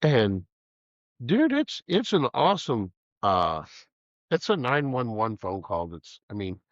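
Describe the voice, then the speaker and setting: A man's voice: mid-range voice, big pitch swings, slow, no room sound, dull audio. A man with a cold, stuffy-nosed, hosting a casual podcast and rambling with jokes. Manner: rambling, joking, chuckling. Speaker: podcast host.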